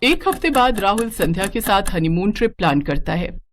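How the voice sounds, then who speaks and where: A woman's voice: medium pitch, sing-song, brisk. A woman storyteller giving scripted narration of a family moral tale.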